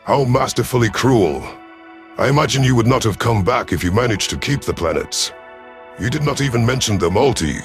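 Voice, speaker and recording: A man voicing an older, cunning reptilian alien chief hunter in a sci-fi audio story, measured and dryly composed. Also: mid-range voice, expressive, faint music behind, pitched above the performer's own voice, close to an everyday voice.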